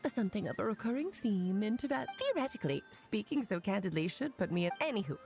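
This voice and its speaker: An actress playing a pedantic, sly scholar in a video game, lecturing with wry theatrical flair. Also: female voice, medium pitch, big pitch swings, quick pace, vocal fry, darkish tone. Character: pedantic, sly female scholar explaining divine lore. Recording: music behind the voice.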